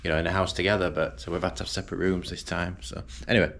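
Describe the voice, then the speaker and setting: A man's voice: low-pitched, little pitch movement, very fast, gravelly, a bit bright, a little hiss or hum. A man chatting casually in a travel vlog, joking about himself and laughing often. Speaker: travel vlogger. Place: hotel room and outdoors.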